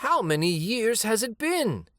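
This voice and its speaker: A kindly old grandmother from a picture book, played by a man reading aloud. The voice is lifted high and lilts gently, coaxing and concerned, at an unhurried pace. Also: very animated, no room sound, clean studio sound, exaggerated voice.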